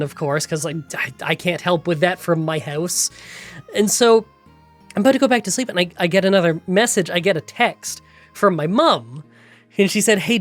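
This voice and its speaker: Nasal, congested gaming YouTuber. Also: mid-range voice. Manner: chatty, rambling storytelling. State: congested from a cold. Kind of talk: game challenge voiceover recap. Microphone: close voiceover mic.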